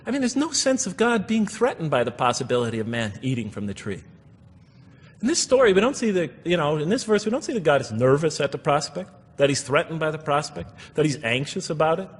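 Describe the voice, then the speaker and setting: A man with a moderately high voice delivers a religious lecture. He explains and reflects as he goes, moving between recited verse and his own commentary. His words carry the long reverb of a hall. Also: big pitch swings, fairly quick, breathy edge. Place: lecture hall before an audience.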